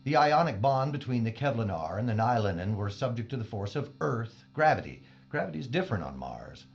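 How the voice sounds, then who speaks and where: A man's voice: medium pitch, sing-song, fairly quick, gravelly. A man playing a prickly, condescending scientist who speaks formally and precisely in a space audio drama. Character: haughty, exacting male scientist, prickly loner aboard spaceship. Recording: dull band-limited audio with faint music.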